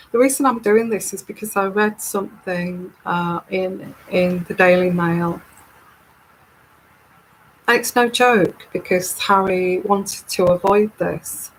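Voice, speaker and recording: A woman with a soothing, calming voice, speaking very slowly and at an easy, rambling pace. She hosts a live tarot stream and chats casually with her viewers. Her pitch sits in a typical middle range for a woman. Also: a little hiss or hum, rough recording. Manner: unhurried, chatty and rambling.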